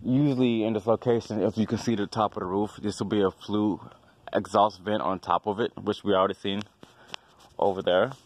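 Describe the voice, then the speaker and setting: A man's voice: on the low side, fairly even intonation, brisk. A man who is a house inspector and HVAC tech, giving a casual, rambling walkthrough with offhand, dismissive asides, filmed on a handheld phone outdoors beside a house and in a basement utility room. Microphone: handheld phone camera.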